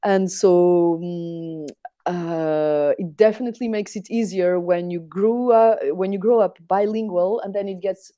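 Middle-aged woman, portuguese first language, low mellow voice, lots of uhs and restarts, dry sound. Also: steady tone, a little slow, dry recording, dull audio. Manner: hesitant, many fillers and repeated words. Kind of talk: casual conversation.

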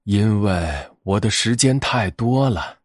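Retired old grandfather bear, storybook, good-natured, animated intonation played by a man. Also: deep voice, slow pace, slightly rough, no room sound, clean studio sound, heavily acted. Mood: gloomy.

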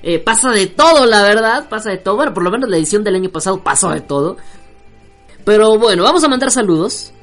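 A man hosting an online radio show, chatting casually and joking, with many fillers and restarts as he reads out listener shout-outs. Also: high voice, expressive, fast talker, soft background music.

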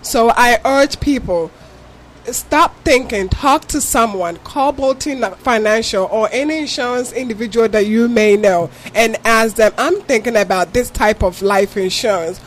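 A woman's voice: fairly high pitch, unhurried, slight background noise. A woman from Ghana with a Ghanaian accent, a guest on a talk show who explains insurance patiently and earnestly with examples. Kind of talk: interview answers.

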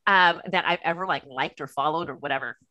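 A woman with a Californian American accent chatting casually as a podcast guest, telling personal stories and acting out bits of dialogue in an animated way. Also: low voice, quick pace, highs cut off, crowd noise. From Los Angeles, USA. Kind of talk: casual chat, personal storytelling.

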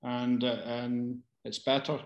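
A man, a casting director answering questions in a casual Q&A, halting with many fillers and restarts. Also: mid-range voice, completely flat delivery, darkish tone, reverberant, lo-fi sound. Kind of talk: casual Q&A advice.

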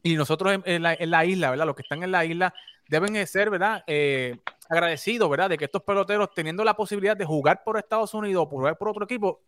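High-voiced Puerto Rican sports host. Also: male voice, quick pace, fairly bright tone. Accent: Puerto Rican Spanish. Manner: enthusiastic, stumbling restarts. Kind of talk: casual sports talk.

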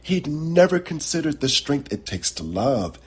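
A man narrating a literary short story as its author, with deliberate, dramatic phrasing. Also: on the high side, very animated, breathy edge. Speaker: author reading his own short story.

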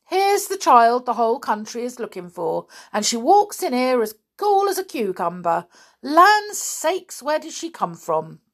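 A woman voicing a big, excitable male police inspector in a children's story, exclaiming in an emphatic, folksy way. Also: medium pitch, moderate pace, gravelly, husky. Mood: startled.

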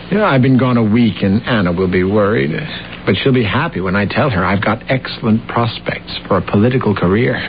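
An actor playing a self-deluded schemer of a husband, glib, evasive and boastful, in old-time radio drama style. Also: male voice, fairly low pitch. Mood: boastful, hurried. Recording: muffled, noisy old radio broadcast.

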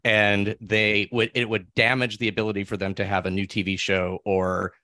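A man's voice: on the low side, little pitch movement, normal speed, pushed and bright, dry recording. A man speaking as a panel guest, chatting casually and anecdotally, sometimes acting out quoted remarks.

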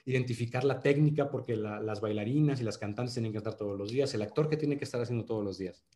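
A man's voice: mid-range voice, fast, mellow. Regio guy rambling in an interview. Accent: northern Mexican (Monterrey). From Monterrey, Mexico. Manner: many restarts and fillers, earnest then joking. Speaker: actor, podcast guest. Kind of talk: casual interview chat.

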